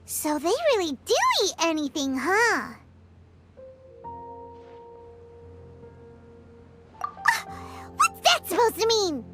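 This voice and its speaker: A woman voicing a tiny, childlike fairy companion in a video game, naive, excitable and exclamatory. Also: very high-pitched, a little slow, full character voice. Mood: curious, indignant. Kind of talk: cartoonish video game voice acting. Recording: prominent music under the voice.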